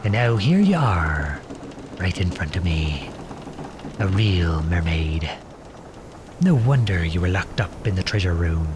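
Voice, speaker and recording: A man playing a gruff but caring pirate captain in an audio roleplay, commanding and full of salty slang. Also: deep voice, sing-song, unhurried, long pauses, noisy background. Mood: awed, musing. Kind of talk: ASMR roleplay audio drama.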